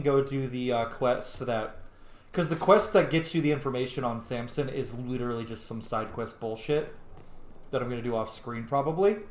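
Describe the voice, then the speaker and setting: Male let's-play commentator, mid-pitched, informal and profane, musing aloud, echoey room. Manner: informal, sweary, thinking aloud. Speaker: video game player and commentator.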